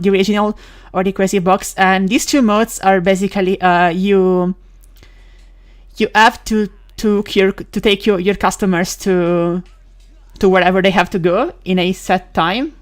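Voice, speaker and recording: Woman chatting on a game stream. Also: mid-range voice. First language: Italian. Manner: rambling, with many fillers, restarts and quick laughs. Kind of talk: casual live-stream chat while gaming.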